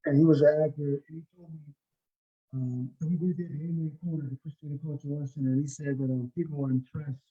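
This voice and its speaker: Man, talk show host, fairly high pitch, conversational interviewer, repeats words mid-question. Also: steady tone, a little fast, warm tone, lo-fi sound.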